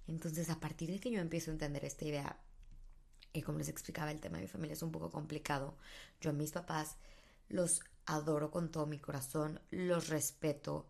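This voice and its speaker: A woman's voice: sits low, creaky, darkish tone. A woman, candidly sharing personal stories on her podcast with many fillers. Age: 20s. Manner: candid, thinking aloud with fillers. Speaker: podcast host. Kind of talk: casual personal monologue.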